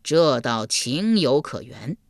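A woman voicing the stern old male King of Hell, speaking slowly and commandingly as he judges the dead. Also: very animated, no room sound, studio quality, heavily acted. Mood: drawling. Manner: slow, booming, commanding.

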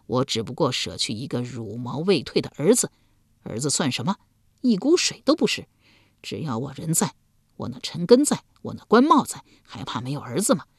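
Cold scheming ancient man, lowered gruff voice, a woman narrator puts him on. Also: no echo, studio quality, exaggerated voice. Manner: gruff, scornful and coaxing by turns.

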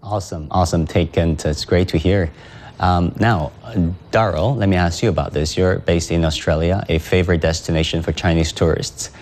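A man hosting a panel interview, putting long questions to guests in a measured way with some fillers. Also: sits low, fairly even intonation, a little mellow. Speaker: TV news interview host.

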